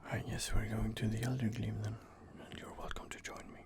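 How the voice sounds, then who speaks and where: A man's voice: fairly low pitch, little pitch movement, a little fast. A man hosting a whispered ASMR let's play, talking hesitantly with many fillers and restarts. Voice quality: whispered, gravelly. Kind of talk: whispered ASMR gameplay commentary.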